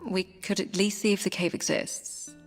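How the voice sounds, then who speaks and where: A determined young princess on a game adventure , played by a woman. Her voice is middling in pitch. It carries a hall-like reverb with faint music behind. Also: fairly even intonation, moderate pace, close to an everyday voice. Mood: determined, curious. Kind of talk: video game voice acting.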